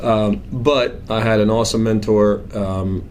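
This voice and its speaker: Man with a Croatian accent, low pitched, flat delivery, earnest, faint background hiss. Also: measured pace. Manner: earnest, plainspoken, frequent ums. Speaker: financial planning firm owner. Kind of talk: casual interview.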